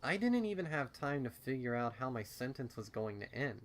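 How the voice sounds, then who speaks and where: A man giving an expressive first-person reading of a fan fiction story as narrator. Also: medium pitch, normal speed. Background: music under the voice.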